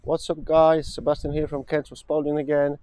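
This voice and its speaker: A man's voice: on the high side, level tone, fairly quick, dark tone, a little hiss or hum. A man from Poland with a Polish accent, speaking English as a second language, giving a plain step-by-step walk-around of a truck in a repair workshop. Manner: plain, explains step by step to viewers. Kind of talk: casual walk-around vlog.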